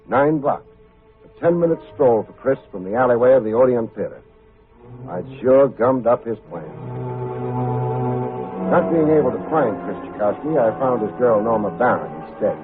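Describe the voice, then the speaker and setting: An actor playing a wry, hard-boiled private detective in an old-time radio drama, speaking in a clipped, sardonic way. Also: male voice, mid-range voice, natural voice. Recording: muffled, phone-like old radio recording with faint music.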